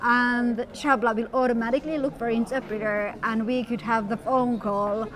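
A middle-aged woman from Finland, interpreting a guest's words in first person with steady, slightly formal explanation. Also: fairly high pitch, moderate pace, rough recording. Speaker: sign language interpreter voicing the guest. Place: outdoor courtyard at a busy event. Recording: outdoor event with crowd noise. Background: crowd chatter.

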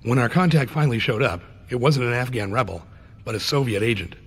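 An actor playing a stoic former Army Ranger and special agent with grave, clipped delivery in a video game. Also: male voice, mid-range voice, expressive, brisk, a bit bright, slightly rough. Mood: grave testimony. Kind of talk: scripted game cutscene acting. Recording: game audio with background noise.

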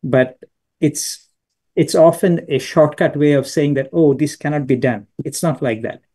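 A man's voice: on the high side, a little slow, dark tone, breathy edge. A middle-aged man from Bangladesh, a business school professor, answering a question on a video call with thoughtful anecdotes and frequent restarts. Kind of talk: answering questions in an online lecture.

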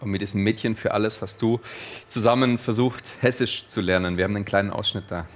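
A man hosting a studio interview show, upbeat and lively as he presents and asks questions, on a headset mic. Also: lowish voice, quick pace, slight background noise. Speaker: show host.

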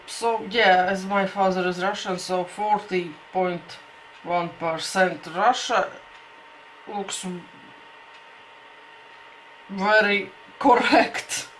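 Latvian accent lady vlogging at her desk, slow, lots of restarts, bit of room hum. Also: on the low side, poor audio. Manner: hesitant, thinking aloud with many restarts. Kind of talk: casual talk to camera. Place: small home room with shelves.

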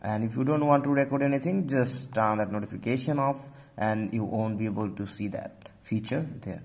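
A man with a mid-pitched voice hosts a phone tutorial. He narrates informally, guiding the listener step by step and often returning to the same stock phrases. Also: a little fast, vocal fry, darkish tone. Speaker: tech tutorial host.

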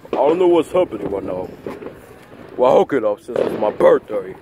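A man improvising a plush toy skit outdoors, talking in a rambling, repetitive, halting way into a handheld phone. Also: mid-range voice, big pitch swings. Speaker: puppeteer of plush toys. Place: outdoors on grass at night. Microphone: handheld phone camera close by. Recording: noisy outdoor phone video recording.

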